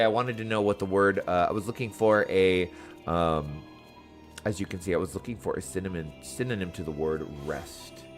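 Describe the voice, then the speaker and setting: A man giving casual, playful commentary as he plays through a video game. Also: fairly low pitch. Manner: playful, chatty, exclaiming. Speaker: gaming streamer. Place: dark room at home.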